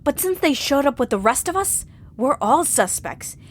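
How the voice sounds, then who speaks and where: A determined woman sleuth in an audio murder mystery. Her voice sits a little high and swings expressively as she builds each accusation step by step, dramatic and emphatic. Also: very animated, normal speed. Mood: accusing. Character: sharp, determined woman amateur detective laying out accusations.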